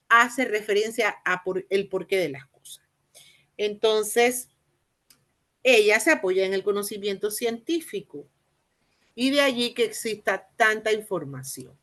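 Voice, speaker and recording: A woman with a Panamanian Spanish accent giving an explanatory lecture, haltingly, repeating and rephrasing phrases and posing rhetorical questions. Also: medium pitch, a bit bright. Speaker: university lecturer in teacher training.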